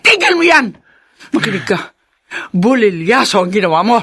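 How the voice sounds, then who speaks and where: Theatrical, wildly swooping scheming aunt. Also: female voice, medium pitch, sing-song, moderate pace, a bit bright, slightly rough, heavily acted. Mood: scolding. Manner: theatrical, swooping between pleading and commanding. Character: scheming middle-aged aunt shielding her wayward son. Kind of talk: melodramatic radio drama acting.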